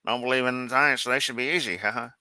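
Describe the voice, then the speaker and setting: A man's voice: mid-range voice, fairly even intonation, fast talker, pushed and bright, clear, resonant tone, no room sound. A man hosting a casual live stream, rambling and sarcastic while clicking around, with a sore throat. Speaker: livestream host.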